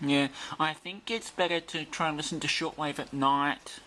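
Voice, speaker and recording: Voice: medium pitch, fairly even intonation, normal speed. A person chatting casually while demonstrating an old radio, with static noise behind. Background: shortwave radio static.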